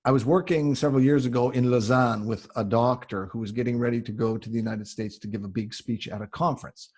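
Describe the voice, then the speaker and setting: A Canadian man coaching on public speaking, explaining things in an emphatic, conversational way with a slightly husky voice. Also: medium pitch, fairly even intonation, quick pace, darkish tone. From Canada (Ontario). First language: English. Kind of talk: conversational advice answering audience questions.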